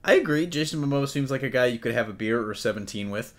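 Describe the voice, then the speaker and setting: A man's voice: mid-range voice, lively intonation, fast talker, a bit bright. A man hosting a casual panel podcast about movies, talking in a jokey, loose way with some swearing. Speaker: podcast host. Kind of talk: casual comic-book movie panel chat.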